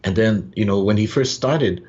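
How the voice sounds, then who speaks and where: An older man, telling stories unhurriedly as a podcast guest, pausing on "uh" and tagging lines with "yeah?". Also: fairly low pitch, darkish tone. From Hawaii. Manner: unhurried, with frequent "uh" pauses and "yeah?" tags. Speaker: guest, farmer and business owner. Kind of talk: relaxed storytelling in conversation. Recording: dull lo-fi sound.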